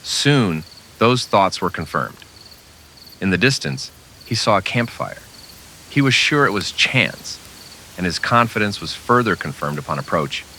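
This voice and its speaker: A man's voice: deep voice, very animated, a little slow, sharp tone, a little hiss or hum. A man narrating a scripted old-time western radio drama with dramatic storytelling delivery.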